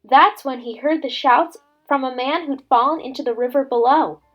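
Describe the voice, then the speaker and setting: A woman reading a children's story aloud as a teacher would, with dramatic, expressive delivery. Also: sits high, normal speed, highs cut off. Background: background music.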